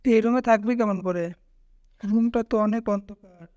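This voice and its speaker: A woman's voice: on the high side, moderate pace, mellow, dry recording, highs cut off, lightly acted. A woman playing an uneasy young traveller in a ghost-story cartoon.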